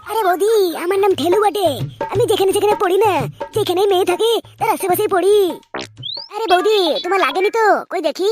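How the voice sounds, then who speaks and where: Swaggering cartoon lad voiced by a man, very high pitch, foul-mouthed, music underneath. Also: steady tone, quick pace. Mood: brash. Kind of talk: cartoon comedy dubbing.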